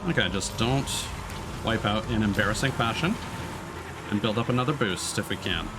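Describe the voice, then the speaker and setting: A man's voice: lowish voice, normal speed, background noise. A man streaming a game with casual, informal, sweary live commentary. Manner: informal, sweary, self-critical. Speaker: streamer, VTuber.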